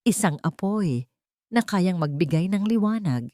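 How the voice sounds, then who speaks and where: A determined, hopeful Filipino woman leader in an audiobook story, voiced by a woman narrator. She speaks formally and earnestly, declaiming in a slightly low voice with plenty of melodic movement. The recording is dry. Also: lively intonation, a little slow, warm tone, no room sound. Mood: earnest, resolute. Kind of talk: audiobook character speech.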